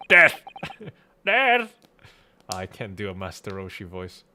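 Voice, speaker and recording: A man's voice: on the low side, wildly swooping pitch, takes it slowly, background noise. A man streaming a video game with casual, slangy, sweary commentary.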